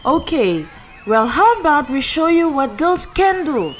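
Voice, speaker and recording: An actress playing a confident, friendly football star, encouraging and instructive, in an animated comic. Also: female voice, sits high, measured pace, raised voice, some chatter behind, slight background noise. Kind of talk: animated motion comic acting.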